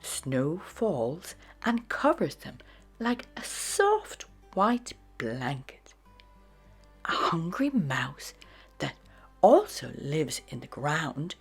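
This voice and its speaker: Woman reading a kids story super slow, really breathy voice, bit of music behind. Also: low voice. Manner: slow, deliberate, expressive storytelling. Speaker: storybook narrator. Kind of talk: children's read-aloud narration.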